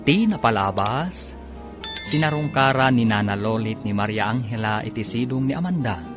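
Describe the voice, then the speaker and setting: A man's voice: mid-range voice, animated, normal speed. A man narrating and announcing a radio drama in scripted, dramatic style. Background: music.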